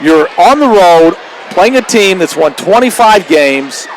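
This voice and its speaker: A man's voice: sits high, lively intonation, a little slow, a little hiss or hum, low-quality recording. A man working as a radio sports interviewer, questioning a coach after a game. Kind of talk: postgame interview.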